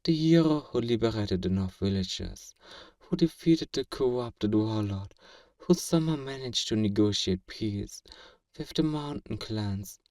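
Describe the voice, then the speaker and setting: Man acting a slow, suave fantasy villain, teasing. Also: lowish voice, lively intonation, a little mellow, studio quality. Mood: musing, intrigued. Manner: measured, amused, condescendingly courteous, chuckling. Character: feared male fantasy villain, suave, teasing, flirtatiously obsessive. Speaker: voice actor performing a roleplay. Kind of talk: ASMR roleplay acting. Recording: roomy echo, distant from the mic.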